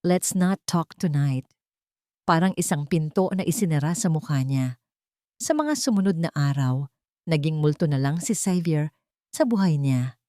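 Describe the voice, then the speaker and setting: A woman narrates a dramatic romance story in a lowish voice. The recording is dry and close, with no room sound. She delivers it as scripted storytelling. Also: expressive, moderate pace, dark tone, dry recording.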